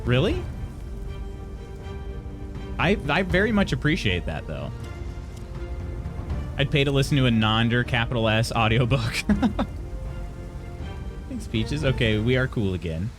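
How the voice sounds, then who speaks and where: A young adult man streaming live, reading out chat messages and answering them in a halting, chatty way with frequent restarts. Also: medium pitch, big pitch swings, music in the background.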